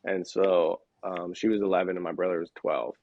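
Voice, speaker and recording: Low-voiced easygoing Midwestern man. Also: steady tone, moderate pace, narrow, tinny audio. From American Midwest. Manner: rambling, easygoing storyteller. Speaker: podcast guest. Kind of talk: casual chat.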